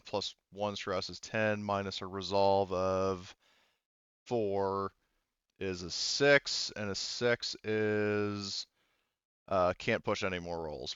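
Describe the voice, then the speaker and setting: A man running a tabletop roleplaying game as game master, narrating off the cuff and haltingly thinking aloud. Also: deep voice, fairly bright tone, clean tone, dry recording, dull audio.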